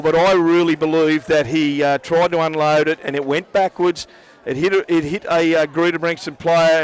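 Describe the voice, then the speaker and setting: Excitable Australian man calling footy. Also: fairly high pitch, smooth. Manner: excitable, repeats himself. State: nearly losing his voice. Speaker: sports commentator. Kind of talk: excited live match commentary.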